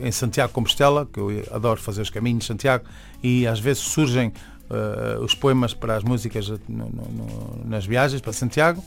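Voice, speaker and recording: Brief: young man, Venezuelan-tinged Beira accent, halting guest answers with fillers, faint music under. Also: medium pitch, fairly even intonation, creaky. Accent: Venezuelan-tinged Beira Portuguese. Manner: hesitant, many restarts and fillers. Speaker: musician and barber, interview guest. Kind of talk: casual interview talk.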